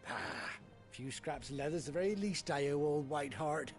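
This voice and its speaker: An actor playing a chatty, kind-hearted rustic trader in a video game, with a British accent and a folksy, hearty, colloquial way of talking. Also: male voice, on the high side, normal speed, lightly acted. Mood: fond. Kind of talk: video game cutscene acting. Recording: game music behind the voice.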